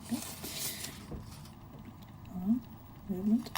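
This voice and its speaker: A woman's voice: medium pitch, expressive, takes it slowly, background noise. A woman playing a friendly, chatty giantess office worker in an ASMR roleplay, caring and a little hesitant as she talks to a tiny colleague. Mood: chatty, relaxed. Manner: bubbly, caring, apologetic, hesitant with soft laughs. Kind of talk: ASMR roleplay, one-sided conversation.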